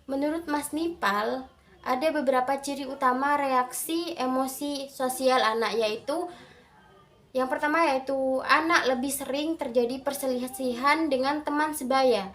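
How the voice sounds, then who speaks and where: High-voiced young woman presenting. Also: measured pace, a bit bright. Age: young adult. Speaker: university student presenter. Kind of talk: student presentation, prepared explanation.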